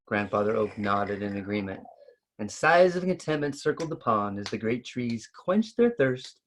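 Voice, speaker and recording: A man reading a children's story aloud with expressive narration. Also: lowish voice, moderate pace. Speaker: story reader.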